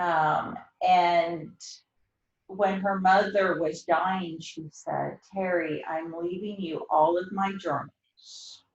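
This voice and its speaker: Slow, deliberate woman in a roomy space. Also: lowish voice, highs cut off. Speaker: host introducing and discussing a book. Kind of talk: reflective conversational storytelling.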